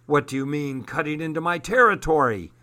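A man's voice: on the high side, huge pitch swings, takes it slowly, bright, forceful tone, voice pushed up, heavily acted. A man voicing a ragged, territorial street performer with a monkey, in an indignant raised voice. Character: gruff ragged male organ-grinder, territorial.

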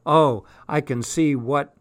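A man reading aloud and voicing a frail eighteen-year-old tramp boy with a husky voice. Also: a little high, slow, fairly bright tone, no echo. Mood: knowing.

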